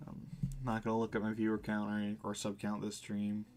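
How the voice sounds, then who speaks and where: A man with a fairly low voice streams a game live. He talks in a casual, slangy way, reading on-screen text aloud and reacting to it as he goes. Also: monotone, normal speed, a little mellow, slight background noise. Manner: informal, chatty, reacting aloud with slangy exclamations.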